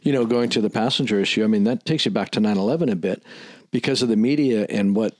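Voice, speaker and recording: A man's voice: on the low side, fast, a little mellow, no room sound. A middle-aged man talking casually in a podcast discussion, close to the microphone. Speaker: podcast co-host discussing aviation. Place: small office studio, indoors. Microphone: close podcast mic on boom arm.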